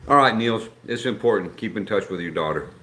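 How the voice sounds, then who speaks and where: A man's voice: on the low side, animated, a little fast, a bit bright. A middle-aged man from West Virginia with an Appalachian accent, chatting casually and rambling as he hosts a live stream and reads out viewer comments. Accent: Appalachian American. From West Virginia, USA. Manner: rambling, folksy, reads chat aloud. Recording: echoey room with faint background noise.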